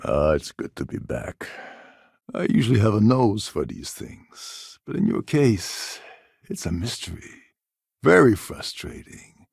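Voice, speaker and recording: An actor playing a gruff, cynical, self-serving space pirate in a video game, with a gravelly voice and a wry, sardonic, world-weary manner. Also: male voice, on the low side, big pitch swings, measured pace, darkish tone, no room sound, clean studio sound, exaggerated voice. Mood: sly, conspiratorial. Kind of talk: game character dialogue lines.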